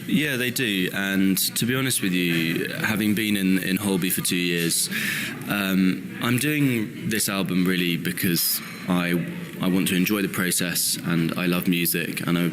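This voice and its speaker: A man with a very low, deep voice speaks as an interview guest, stumbling and restarting as he talks. Faint room ambience sits behind him. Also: a little slow, noisy background. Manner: hesitant, with many restarts and fillers. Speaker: interview guest, actor and singer. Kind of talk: casual interview talk.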